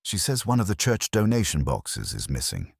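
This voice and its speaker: Man playing an eager police sergeant, low-voiced. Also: wildly swooping pitch, moderate pace, gravelly, husky, no room sound, clean studio sound, exaggerated voice. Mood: eager, puzzled. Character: eager, bouncy, deferential male police sergeant. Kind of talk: audio detective drama.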